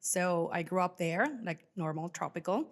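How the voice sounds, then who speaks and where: A woman's voice: lowish voice, fairly even intonation, moderate pace. Chatty woman. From Venezuela. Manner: chatty, informal, acts out remembered dialogue. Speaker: podcast guest, financial professional. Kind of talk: casual personal storytelling.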